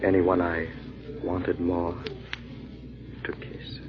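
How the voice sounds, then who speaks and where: A man playing a suave, ardent French gentleman suitor in a 1940s radio drama, courtly and smooth-talking. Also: low voice, completely flat delivery, drawn-out words. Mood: amorous. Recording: muffled old radio recording.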